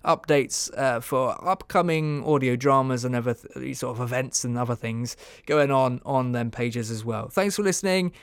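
A man's voice: mid-range voice, normal speed, few pauses, smooth, no echo. A man hosting a podcast, casually giving thanks, plugs and sign-offs. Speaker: podcast host.

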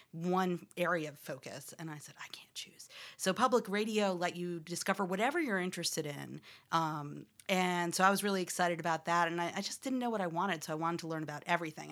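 Lowish-voiced woman, neutral American radio voice. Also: a bit bright. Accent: none, neutral American. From Louisiana, raised in upstate New York. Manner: chatty, laughs often, trained radio delivery. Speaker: audio journalist guest. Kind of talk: casual interview conversation.

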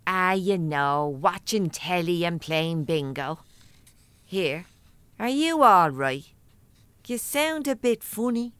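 A woman's voice: on the low side, animated, slow, fairly bright tone, clean tone, no room sound. An actress playing a cheeky, blunt working-class cleaning woman with an Irish accent, chatting in an audio drama. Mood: suspicious, chatty.

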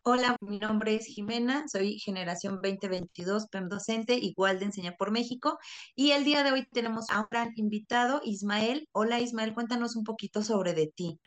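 A woman teacher co-hosting a podcast, introducing the guest in a slow, measured way. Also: mid-range voice.

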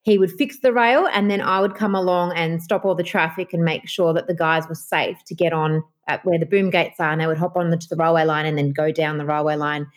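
A woman's voice: low-pitched, fairly even intonation, brisk, few pauses. A woman with an Australian accent and a nasal voice, chatting as an interview guest with many fillers and restarts. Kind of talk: casual interview chat.

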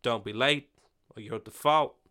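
A man's voice: mid-range voice, moderate pace, sharp tone, lightly acted. A man voicing a smug, wealthy male landowner who bullies his sharecroppers in a Western story. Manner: smug, oily.

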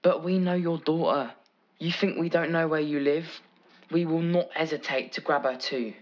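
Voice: mid-range voice, fairly even intonation, normal speed, natural voice. Actor as British kidnapper for audio drama: cocky, taunting, threatening, muffled sound. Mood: menacing, taunting. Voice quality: taunting, colloquial British speech. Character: menacing, cocky kidnapper making a threatening phone call.

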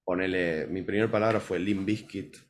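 A man's voice: sits low, steady tone, moderate pace. A man in his early twenties with a Buenos Aires accent, chatting casually as an interview guest. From Buenos Aires, Argentina. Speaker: musician guest. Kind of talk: casual storytelling interview answers.

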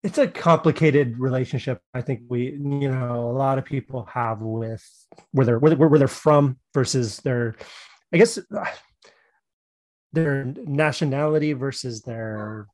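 A young man hosting a relaxed podcast conversation at an ordinary pitch. He backs his co-host up with quick agreeing fillers and a friendly, easygoing tone. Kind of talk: casual chat.

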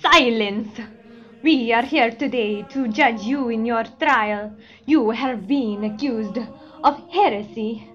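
A woman's voice: fairly high pitch, takes it slowly, soft background music. A woman playing a stern, pompous male Pope in a comedy sketch, speaking formally. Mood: indignant. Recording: dull, lo-fi audio.

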